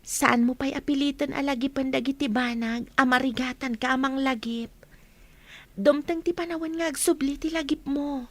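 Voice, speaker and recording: Actress doing a really high young village girl, earnest and pleading, radio drama style. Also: steady tone, moderate pace, no room sound, natural voice. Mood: earnest, gentle. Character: earnest young village woman, attached to a stranger.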